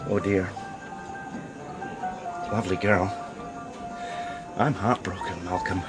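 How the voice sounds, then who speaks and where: An actor playing a discreet male art dealer in a radio crime drama, speaking in a deliberate, hesitant, euphemistic way. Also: low voice, fairly even intonation, over loud music. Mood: heartbroken. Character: male art dealer George, heartbroken, guarded, discreet old friend.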